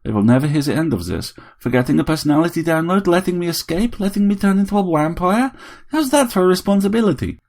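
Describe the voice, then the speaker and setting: A man's voice: sits high, animated, fast talker, a little mellow, voice pushed up. A man voicing a robot with an old wife's personality, using a feminine, scolding intonation for a story. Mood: indignant.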